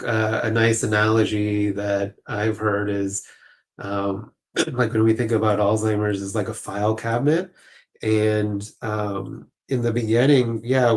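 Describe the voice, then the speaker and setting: A man with a fairly low voice talks as an expert guest in an interview. His explanations are informal and conversational, full of casual asides.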